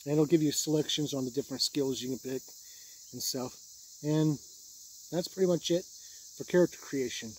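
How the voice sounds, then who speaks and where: A man's voice: a little high, fairly even intonation, moderate pace, pauses often, darkish tone, a little hiss or hum, rough recording. A man giving an informal, unscripted review in a plain-spoken, matter-of-fact way. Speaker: tabletop game reviewer.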